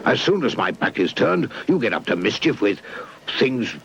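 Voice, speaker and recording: A man's voice: mid-range voice, very animated, moderate pace, gravelly, a little hiss or hum, pitched above the performer's own voice. A British man voicing a bossy, pompous, growling toy tyrant in a children's puppet story. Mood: pompous, demanding. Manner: demanding, shouty, pompous. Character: blustering, bossy, big-headed male toy tyrant. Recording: dull lo-fi VHS tape transfer.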